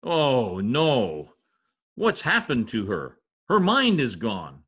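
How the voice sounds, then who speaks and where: Man acting out anxious theatrical husband, old-time radio comedy, raspy edge, hugely animated, exclaiming. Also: medium pitch, takes it slowly, exaggerated voice. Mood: anguished. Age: middle-aged. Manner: dramatic, exclaiming. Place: home office, over video call. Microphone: video call computer mic.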